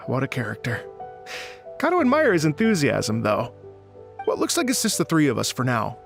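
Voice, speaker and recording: An actor playing a polite, earnest musician and event organizer in a video game, courteous and slightly hesitant. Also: male voice, medium pitch, wildly swooping pitch, normal speed, gravelly, long pauses, over music. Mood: welcoming. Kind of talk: video game cutscene acting.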